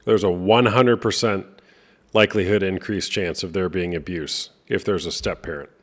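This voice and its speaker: Host, man near forty, very deep voice, blunt and chatty. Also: fairly even intonation, normal speed. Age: 30s. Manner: blunt, chatty, cites sources. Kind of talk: casual debate chat.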